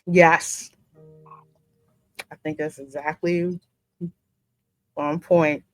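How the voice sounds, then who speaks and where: A woman with a slightly low voice hosts a game playthrough. She reads dialogue and narration in an expressive, theatrical way, mixed with casual reactions. Soft music sits faintly behind her. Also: drawn-out words, rough recording. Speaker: gaming host voicing the player character. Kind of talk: dramatic script reading mixed with casual reactions.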